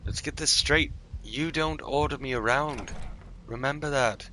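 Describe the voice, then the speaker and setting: A man's voice: medium pitch, a little slow, bright, forceful tone, a little hiss or hum. A man live-streaming a fantasy game, improvising blunt, irritable in-character remarks and asides.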